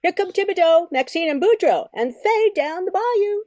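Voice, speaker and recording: A woman's voice: extremely high pitch, expressive, normal speed, a little mellow, no room sound, clean studio sound. A woman playing an eager, folksy young member of a bayou family in an amateur radio drama.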